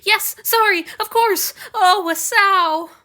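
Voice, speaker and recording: A woman's voice: slow pace, sharp tone, full character voice. A woman voicing an impulsive young man who is crying and blaming himself. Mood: tearful, frantic. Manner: sobbing, high-pitched outbursts. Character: young man, weepy, impulsive, self-reproaching.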